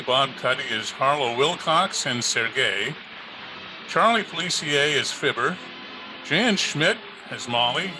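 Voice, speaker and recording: A male announcer reads scripted credits. His voice is fairly high and lively in pitch, with clear music playing behind him. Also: low-quality recording.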